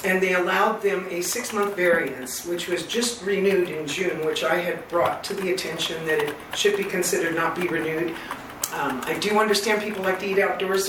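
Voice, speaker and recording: A woman with a loud voice giving a public comment at a meeting, earnest, detailed and persistent. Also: on the low side. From Northern California. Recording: echoey hall, far from mic, noisy.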